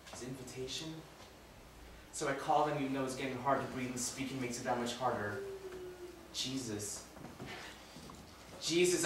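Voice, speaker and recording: In a very echoey space, far from the mic, a man plays a repentant condemned robber telling his story as a stage monologue. The voice is forceful and impassioned, full of remorse and rough-edged confession. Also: mid-range voice, natural voice. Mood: pleading, awed. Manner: impassioned, swinging from hushed confession to shouting. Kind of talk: live dramatic monologue, first-person storytelling. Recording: very echoey room, distant mic, faint noise.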